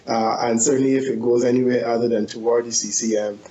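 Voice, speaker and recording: A man's voice: mid-range voice, level tone, fast, dark tone. A man giving political commentary as an analyst. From Barbados. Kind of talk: spoken interview commentary. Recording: muffled, echoey interview audio.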